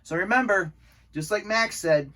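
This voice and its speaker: A man's voice: high-pitched, moderate pace, pushed and bright. A man, a fire chief, hosting a children's story time and explaining things simply with questions and answers.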